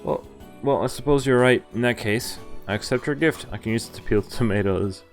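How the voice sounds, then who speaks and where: A man streams a story game with music playing behind him. He reads the text aloud in an informal way, stumbling now and then and dropping in sweary asides. His voice sits at an ordinary male pitch. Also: brisk. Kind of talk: live read-aloud of a visual novel with casual reactions. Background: visual novel music and voiced characters.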